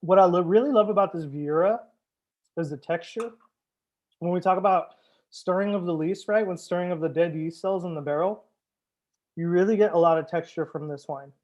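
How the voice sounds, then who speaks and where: A man's voice: sits high, fairly quick, a little mellow, highs cut off. A man hosting a live wine tasting as a sommelier, chatting casually with viewers, joking and swearing now and then. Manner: chats with viewers, answers comments. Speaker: sommelier host.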